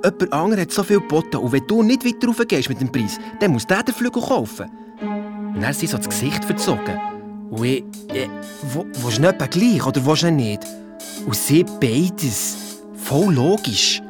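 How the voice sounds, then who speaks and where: A woman's voice: sits low, very animated, moderate pace, over music. A woman playing a cheeky, blunt young boy detective with a Swiss German accent, chiming in casually in a children's radio play. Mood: casual, wry.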